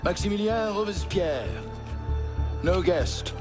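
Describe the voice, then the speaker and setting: A man's voice: high-pitched, animated, slow. An actor playing a curt, officious doorman in a video game cutscene, announcing guests in a formal, clipped manner. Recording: music playing behind.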